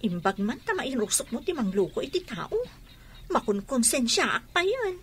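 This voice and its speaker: An actress playing a blunt, scolding young friend in a radio drama, with an Ilocano accent. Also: female voice, on the high side, very animated, normal speed, a little hiss or hum. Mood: disapproving. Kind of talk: Filipino radio drama acting.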